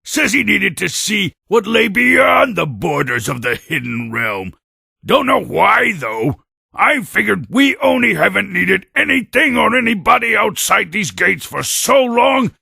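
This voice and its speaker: An actor playing a boastful, brawny warrior creature in a video game, blustering and menacing in a gravelly, raspy voice. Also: male voice, high-pitched, sing-song, unhurried, sharp tone, dry recording, clean studio sound, exaggerated voice. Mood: gruff, puzzled. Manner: swaggering, bragging, menacing. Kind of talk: video game cutscene acting.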